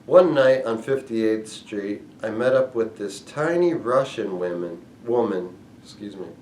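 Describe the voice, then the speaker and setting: A man reading a memoir aloud in a measured, deliberate way, phrase by phrase. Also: lowish voice, lively intonation, darkish tone, recorded from a distance, slight background noise. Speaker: book reader and reviewer. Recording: echoey room.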